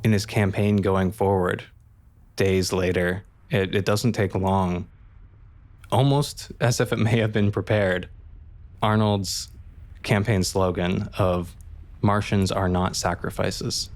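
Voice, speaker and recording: A man's voice: low voice, fairly even intonation, slow pace. A man improvising narration for a roleplaying game, setting out scenes as the narrator.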